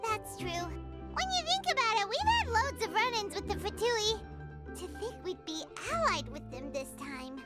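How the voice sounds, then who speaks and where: A woman voicing a tiny, nosy, childlike fairy companion in a video game, squeaky and blunt, often hesitating with little exclamations. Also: very animated, normal speed, loud music behind the voice. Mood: curious. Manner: hesitant exclamations, speaks of herself in third person. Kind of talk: cartoonish video game voice acting.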